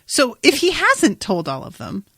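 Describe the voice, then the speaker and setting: A woman's voice: sits high, measured pace, vocal fry, no echo, studio quality. A woman hosting a podcast, casually recapping a TV episode in a wry, sweary way and acting out bits of dialogue. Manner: wry, sweary, animated retelling with acted-out quotes. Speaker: podcast host.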